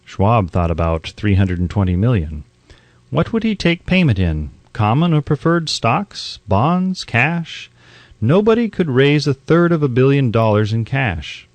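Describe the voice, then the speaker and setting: A man reading an audiobook in a measured, articulate storytelling delivery, close on the microphone. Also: medium pitch, very animated, dry recording. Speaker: audiobook narrator. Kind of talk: scripted audiobook narration.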